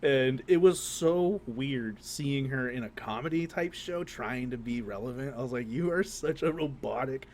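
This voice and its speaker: A man's voice: medium pitch, normal speed, hardly any pauses, darkish tone, a little hiss or hum. A man co-hosting a casual, joking podcast, talking in a slangy, mock-indignant way with plenty of swearing. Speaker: podcast co-host.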